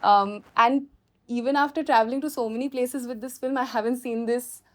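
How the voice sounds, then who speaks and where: A woman with an Indian accent, a filmmaker speaking spontaneously in a question-and-answer session, explaining things through animated storytelling. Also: a little high, a little fast.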